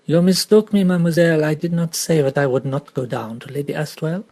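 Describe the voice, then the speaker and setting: A man's voice: fairly high pitch, quick pace, dark tone, close to an everyday voice. A man voicing a vain, clever little Belgian male detective, precise and theatrical, sometimes dropping to a whisper. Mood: musing.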